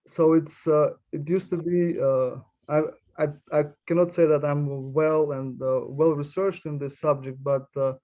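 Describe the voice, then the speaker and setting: A man who grew up in Uzbekistan, speaking as a panelist in a halting way with many fillers. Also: on the high side, steady tone, warm tone, dull audio. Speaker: panelist, immigration attorney. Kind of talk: panel discussion introduction.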